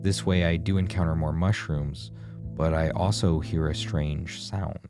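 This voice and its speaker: A man's voice: a bass voice, fairly even intonation, a little slow. A man reading scripted narration of a horror game in a dramatic, ominous storyteller manner. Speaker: video essay narrator. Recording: music behind the voice.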